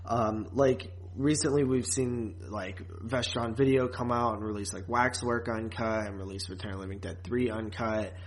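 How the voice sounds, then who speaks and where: A man co-hosting a podcast in a casual, chatty way, often pausing and repeating words as he thinks. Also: on the low side, steady tone. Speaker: podcast co-host.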